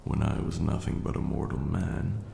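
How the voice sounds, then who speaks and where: A man playing an ancient vampire lord, proud, wistful and tender, telling his tale in a hushed, formal, measured way as an intimate ASMR roleplay. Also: rumbling low, vocal fry, echoey room, background noise, heavily acted. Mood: proud, reminiscing.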